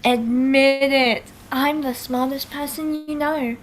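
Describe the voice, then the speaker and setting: Woman playing proud, brainy teenage girl, unhurried. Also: sits high, fairly even intonation, crowd noise. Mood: proud, curious. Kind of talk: online table read of a stage musical.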